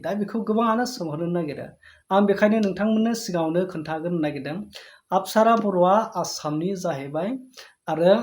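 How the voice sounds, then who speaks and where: A young man talking slowly and earnestly to camera in a small plain room, recorded close on a phone for his vlog. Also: fairly high pitch, darkish tone. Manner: slow, earnest storytelling to viewers. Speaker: vlogger. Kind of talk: casual talking-head vlog.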